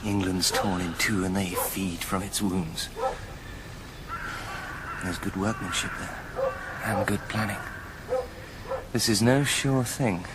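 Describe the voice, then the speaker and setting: A man's voice: low voice, fairly even intonation, slow, long pauses, very noisy. A man acting a hard, grim medieval lawman who leads armed men in a radio drama, grave and decisive. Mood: grim, resolute. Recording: loud outdoor ambience with crows.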